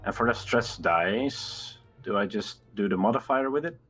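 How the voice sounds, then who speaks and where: A man's voice: on the low side, normal speed, fairly bright tone, husky, faint music behind. A man chatting casually as a player in a tabletop roleplaying game.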